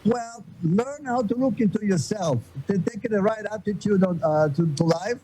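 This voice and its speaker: An older man from Florence with a Florentine Italian accent, answering interview questions with many pauses and restarts. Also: high voice, mellow, a little hiss or hum, low-quality recording. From Florence, Italy. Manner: hesitant, many fillers and restarts. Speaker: guest.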